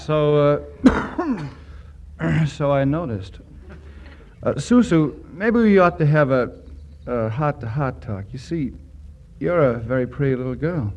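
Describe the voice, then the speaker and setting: A man's voice: on the high side, lively intonation, takes it slowly, a little mellow. An actor playing a well-meaning army major uncle in a 1940s radio comedy, hesitant and awkward in his speech. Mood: flustered, awkward. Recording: dull old radio broadcast, faint noise.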